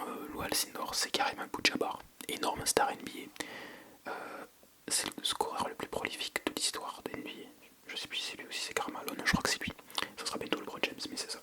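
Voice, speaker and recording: A French ASMR creator speaking entirely in a soft, breathy whisper. The delivery is casual and unscripted, with a hesitant habit of thinking aloud. It sounds intimate and close to the microphone. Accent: French from France.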